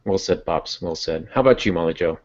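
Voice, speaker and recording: A man's voice: low-pitched, fairly quick. A man hosting a podcast, giving conversational advice about writing. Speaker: podcast host and writer. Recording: dull lo-fi audio.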